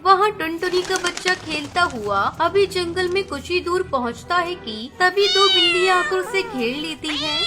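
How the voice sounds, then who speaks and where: A woman reading scripted narration of a children's story as its storyteller. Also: very high-pitched. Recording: clear music bed under narration. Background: music.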